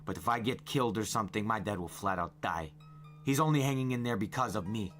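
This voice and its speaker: A man's voice: on the low side, quick pace, soft background music, natural voice. A man voicing an agitated, blunt and volatile teenage boy in an audiobook story. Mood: defensive. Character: panicky, volatile teenage boy, loud and angry. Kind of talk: audiobook character voice.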